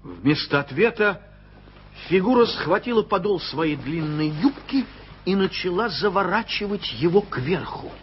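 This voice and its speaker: A man narrating a radio play in an expressive storytelling manner. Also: high-pitched, measured pace, a little hiss or hum. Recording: dull lo-fi old radio recording.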